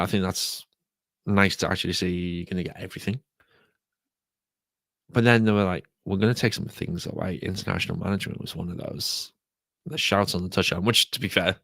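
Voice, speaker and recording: A British man hosting a solo podcast, talking casually and repeating himself, croaky from a sore throat. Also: sits low, no echo. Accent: British English. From United Kingdom. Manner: rambling, repeats words. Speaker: podcast host.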